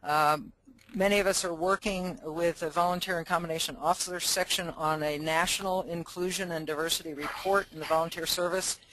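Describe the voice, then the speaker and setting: A man's voice: on the high side, steady tone, fairly quick, pushed and bright, slight background noise, low-quality recording. A man, a fire chief, presenting a webinar in a friendly, informal way with many fillers.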